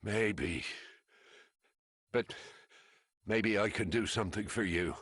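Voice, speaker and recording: A man playing a polite, fretful gentleman in a video game, courteous and hesitant in his dramatic delivery. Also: on the low side, takes it slowly, husky, no echo, heavily acted. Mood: subdued, kindly. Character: polite, anxious gentleman worried for his missing companion. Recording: loud noise behind the voice.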